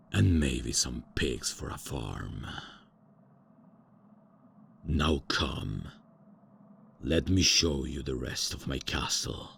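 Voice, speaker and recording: A man's voice: fairly bright tone, a little hiss or hum, exaggerated voice. A man voicing a pompous, old-fashioned male vampire count who is out of touch with the modern world, in an ASMR roleplay. He talks grandly and formally but keeps stumbling over fillers and correcting himself. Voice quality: deep and gravelly. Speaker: voice actor.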